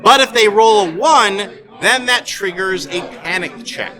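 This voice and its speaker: A man running a live tabletop game as game master, explaining rules in halting phrases. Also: high voice, animated. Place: live convention event, indoors. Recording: loud crowd noise behind.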